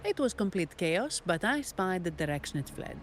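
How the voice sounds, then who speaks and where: An actress playing a composed, dutiful hired guard and guide in a video game, speaking in a measured, formal, businesslike way. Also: female voice, lowish voice, sing-song, background noise. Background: background music.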